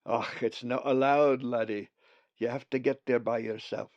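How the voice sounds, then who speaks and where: A man voicing a warm old male raven spirit with a broad Scottish accent, talking loud and hearty. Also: medium pitch, steady tone, moderate pace, dry recording, dull audio, close to an everyday voice. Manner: hearty, loud, dialect-heavy.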